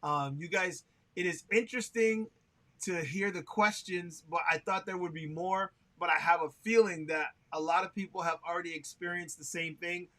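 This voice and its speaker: A man hosting a relaxed live chat over a video call. His voice is bright and a little higher than most men's. He talks loosely, laughing often and filling pauses with "um.". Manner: chatty, laughs often, many "um" fillers. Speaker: show host. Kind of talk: casual livestream interview.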